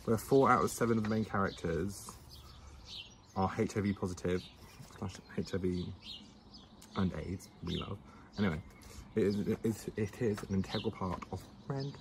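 Low-voiced young man reading notes. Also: moderate pace, pauses often, noisy background. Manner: teasing, stumbles while reading, restarts words. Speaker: co-host. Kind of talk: casual chat, reading notes aloud. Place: outdoors in the sun.

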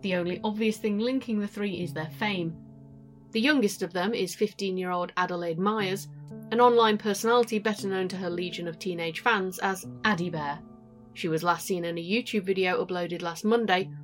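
A woman's voice: medium pitch, moderate pace, faint music behind, natural voice. A woman playing a sober news reporter reading a formal, factual scripted report in an audio drama.

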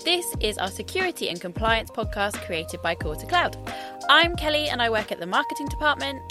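A woman's voice: on the high side, very animated, a little fast, over music. A woman hosting a podcast, introducing the episode and interviewing a guest. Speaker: podcast host.

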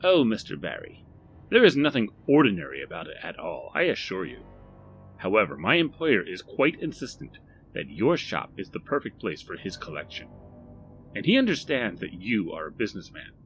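A man voicing an eerie, overly formal little male stranger who speaks slowly and precisely. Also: fairly low pitch, huge pitch swings, fairly bright tone, faint music behind, exaggerated voice, rough recording. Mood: measured.

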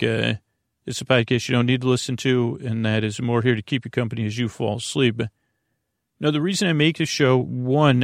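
A man hosting a sleep podcast, chatting in a meandering, halting, digressive monologue with a gravelly, creaky voice that often trails off mid-sentence. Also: mid-range voice, no room sound, clean studio sound. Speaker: podcast host.

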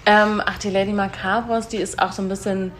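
A woman's voice: medium pitch, little pitch movement, a little fast, fairly bright tone. A young woman, an actress, chatting casually in an interview with informal, eager, agreeable replies. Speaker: stage actress being interviewed. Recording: noticeable background noise on location.

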